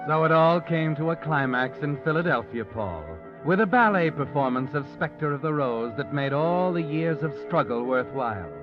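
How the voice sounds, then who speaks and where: A man doing a brooding narrator who speaks straight to the hero in the second person, dark and knowing. The voice sits a little high. It comes through a muffled vintage broadcast with music underneath. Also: expressive, moderate pace, hardly any pauses, close to an everyday voice. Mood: ominous. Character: the Whistler, ominous male narrator speaking to the hero. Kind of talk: 1940s radio acting. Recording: muffled old radio recording.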